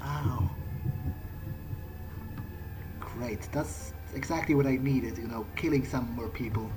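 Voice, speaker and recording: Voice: medium pitch, moderate pace, close to an everyday voice, poor audio. A game player voicing a jittery, exasperated young vampire in an improvised in-character playthrough, muttering, repeating words and swearing mildly. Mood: sardonic, creeped out. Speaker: let's player playing in character. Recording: game soundtrack music behind the voice.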